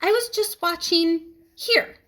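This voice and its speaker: A woman's voice: extremely high pitch, slow, bright, forceful tone, voice pushed up, full character voice. A woman voicing a mischievous, earnest fifth-grade boy in a storybook, eager and emphatic. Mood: apologetic.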